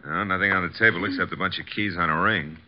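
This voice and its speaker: An actor playing a breezy, tough detective's assistant with a wry, slangy, flippant manner in 1950s radio drama style. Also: male voice, low-pitched, steady tone, fast talker, lightly acted. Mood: alert, wry. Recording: dull, faintly noisy old radio recording.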